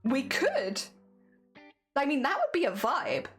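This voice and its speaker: Wheezy woman streamer on a headset, high-pitched and animated, faint music behind. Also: slow pace. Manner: chatty, rambling, laughs often. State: wheezy, voice strained. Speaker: crafting livestreamer. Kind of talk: casual chat to live chat.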